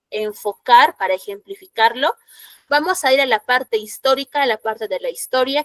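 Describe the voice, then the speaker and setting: Give me a woman teacher with a Peruvian accent and a bright, raised pitch. She lectures in a dry, close sound and keeps checking her students are following. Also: dry recording. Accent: Peruvian Spanish. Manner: explanatory, frequent "¿no?" checks, rhetorical questions to students. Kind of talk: classroom lecture.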